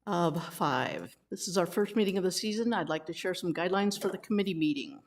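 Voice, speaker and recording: Woman, committee chair, reads rules aloud, wisecracking asides, slightly low voice. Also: fairly quick. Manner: wisecracking, reads formal rules then jokes with the room. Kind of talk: chairing a community meeting, reading procedures.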